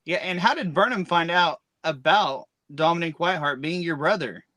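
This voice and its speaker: A man's voice: a little high, normal speed, pushed and bright, no room sound. A man hosting a wrestling podcast, chatting in a wry, matter-of-fact way. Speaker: podcast host and ring announcer. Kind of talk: casual podcast chat.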